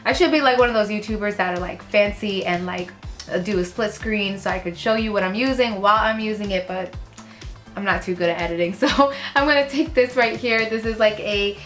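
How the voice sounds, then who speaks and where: Chatty young woman, sick, rough voice. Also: medium pitch, brisk, fairly bright tone, faint music behind. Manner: chatty, rambling, fillers, trails off while working. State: sick, voice lower and rougher than usual. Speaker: beauty vlogger. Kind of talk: casual makeup tutorial chat. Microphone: camera close up in front of face.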